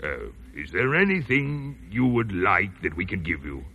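An actor playing a genial, formal railroad president in a 1940s-style radio play. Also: male voice, low-pitched, sing-song, measured pace, bright, forceful tone, husky, muffled recording, heavily acted. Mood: ceremonious. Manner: formal, ceremonious.

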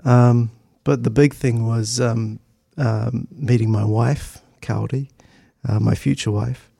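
Gravelly, mellow middle-aged New Zealand man. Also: mid-range voice, steady tone, no room sound, clean studio sound. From Christchurch, New Zealand. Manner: hesitant, halting, thoughtful delivery. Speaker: interview guest. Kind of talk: conversational personal reminiscence.

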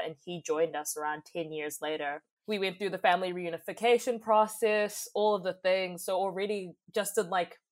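A young woman, reflecting thoughtfully and expressively in a conversational interview. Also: fairly low pitch. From New Zealand. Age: young adult. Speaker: podcast guest, youth advocate and poet.

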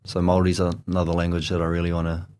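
A man's voice: low voice, fast talker. A young man from New Zealand with a New Zealand accent, a radio guest chatting casually and slipping Korean words into his talk. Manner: relaxed, mixes in Korean words. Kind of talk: casual interview chat. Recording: community radio studio.

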